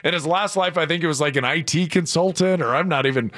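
A man's voice: on the high side, quick pace, a bit bright. A man with an Indiana accent co-hosting a morning radio show, joking and teasing in a sing-song way. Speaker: radio co-host. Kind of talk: casual morning radio banter.

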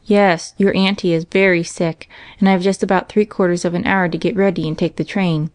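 A woman's voice: on the low side, steady tone, a little fast, darkish tone, dry recording, lightly acted. Woman reading as a kindly storybook father. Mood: grave, tender. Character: kindly, reassuring father, a horse-loving family man. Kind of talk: storybook character voice.

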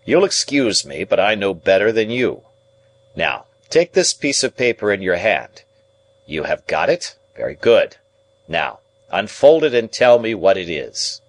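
A man's voice: fairly low pitch, big pitch swings, measured pace, full character voice, low-quality recording. A man voicing a domineering, shrewd middle-aged lawyer in an audiobook, with an emphatic, interrogating and pedantic delivery. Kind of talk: audiobook character dialogue.

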